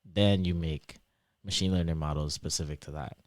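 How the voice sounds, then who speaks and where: A young man whose Trinidadian accent drifts toward American, a guest explaining things in a lively, casual way with lots of examples and fillers. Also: a bass voice, moderate pace, no echo, studio quality. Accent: Trinidadian shifting toward American. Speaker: interview guest, PhD student.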